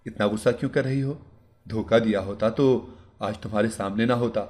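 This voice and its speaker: A man voicing a calm young husband who speaks softly and patiently in an audio story. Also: lowish voice, brisk, close to an everyday voice. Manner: soft, patient.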